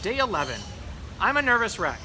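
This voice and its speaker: A man's voice: high voice, very animated, fairly quick, background noise, voice pushed up. A man voicing an exasperated, fussy pet goldfish in a children's storybook read-aloud, with dry, grumbling delivery. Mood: exasperated, frazzled.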